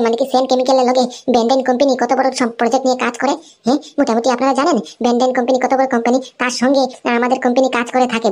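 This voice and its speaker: A woman's voice: high voice, a little mellow, lightly acted. A woman voicing a haughty rich cartoon woman who scolds and taunts with repeated questions. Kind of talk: amateur cartoon dialogue.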